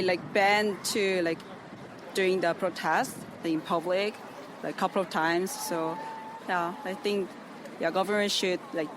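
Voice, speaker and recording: Korean person, choppy english with lots of "like", street traffic. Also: mid-range voice. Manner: halting English, many fillers. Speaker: street interviewee. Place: city street outdoors. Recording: street recording with traffic noise.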